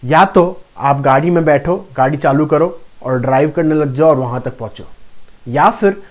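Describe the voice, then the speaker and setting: A man's voice: on the high side, normal speed. A man giving a motivational self-help lesson, explaining steadily and repeating key phrases for emphasis, with English phrases mixed into his Hindi. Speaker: law of attraction coach. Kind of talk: motivational talk to camera.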